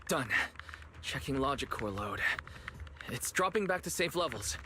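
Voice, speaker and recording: An actor playing a calm, thoughtful, caring young man who stays steady under pressure in a video game. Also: mid-range voice, unhurried, noisy background, close to an everyday voice. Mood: concerned. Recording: background music under the voice.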